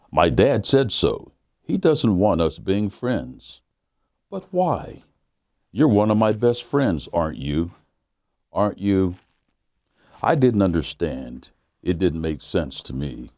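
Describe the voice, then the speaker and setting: A very low-voiced man reads a children's book aloud, earnest and unhurried, the way a pastor speaks to kids. His tone is dark and mellow, and the sound is close and dry with almost no room around it. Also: no echo. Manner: measured, earnest storybook reading.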